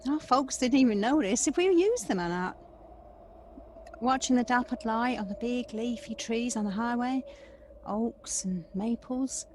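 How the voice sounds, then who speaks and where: A woman's voice: on the high side, expressive, a little slow, darkish tone, slight background noise. An actress playing an emotional grown woman in an audio play, a wistful sister who envies her sibling. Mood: nostalgic.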